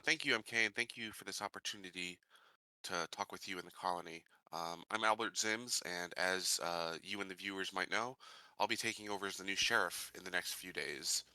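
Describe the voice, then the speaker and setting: A man's voice: sits low, pushed and bright, no room sound, rough recording. A man playing an earnest, determined sheriff-to-be in a tabletop game, speaking formally and measuredly with small stumbles.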